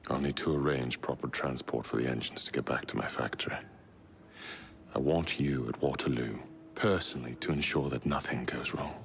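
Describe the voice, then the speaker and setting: Very deep, imperious villain. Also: male voice, creaky, slight background noise. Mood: commanding. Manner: formal, measured, commanding. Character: menacing, imperious Victorian industrialist and secret-order leader. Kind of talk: dramatic video game acting.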